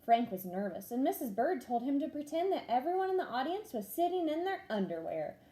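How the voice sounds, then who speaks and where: A woman teacher reading a children's storybook aloud in an expressive, clearly paced way, acting out the dialogue. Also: sits high, a little fast, poor audio.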